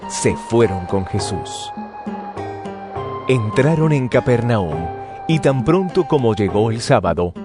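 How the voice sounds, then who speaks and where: Casting: male narrator for dramatized scripture, solemn but expressive in intonation, music bed behind. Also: medium pitch.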